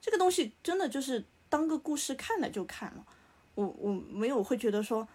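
Slightly husky, higher-pitched woman chatting casually. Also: sing-song, brisk, a little mellow. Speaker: podcast co-host. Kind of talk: casual chat.

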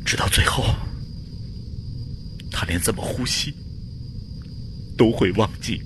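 Over noticeable background noise comes a man playing a middle-aged engineer and desperate father. His voice is low, and he pleads in a halting, emotional way, in radio drama style. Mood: anguished, pleading.

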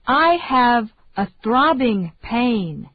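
A woman reading English phrases slowly and deliberately for learners to repeat. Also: fairly high pitch, very animated, breathy edge, no echo. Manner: slow, deliberate, carefully enunciated. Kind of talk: slow repeat-after-me drill. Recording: dry, tinny phone-like sound.

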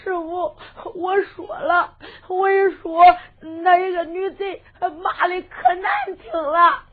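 A woman's voice: very high voice, measured pace. A woman voicing a small, tearful young male apprentice in a folk storytelling performance, pleading through sobs. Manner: sobbing, pleading delivery. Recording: lo-fi phone-like sound.

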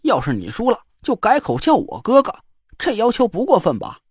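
A man's voice: high voice, wildly swooping pitch, moderate pace, slightly rough, dry recording, studio quality, pitched above the performer's own voice, heavily acted. Man doing a cocky joker. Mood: teasing. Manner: bantering, mock-boastful, drawling. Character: cocky teasing middle-aged man, joker.

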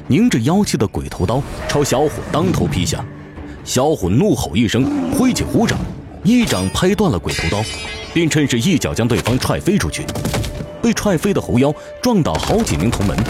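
Casting: man, fantasy audiobook narrator, very expressive theatrical delivery, backed by a music bed. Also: mid-range voice. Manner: theatrical, suspenseful storytelling. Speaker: narrator, professional voice actor. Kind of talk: dramatic scripted audiobook narration.

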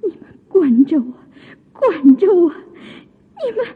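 In long reverb on a thin, phone-like track, a young concubine in torment. Her lines are extremely slow, melodramatic and defiant, stretched out plaintively. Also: very high-pitched, very animated, exaggerated voice. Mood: anguished. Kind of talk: theatrical Chinese radio drama acting.

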